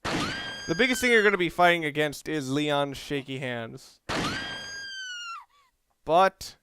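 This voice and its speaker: A man giving casual video game commentary in a halting, rambling way, often rephrasing himself as he goes. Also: on the high side, very animated, noisy background. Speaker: video game let's player.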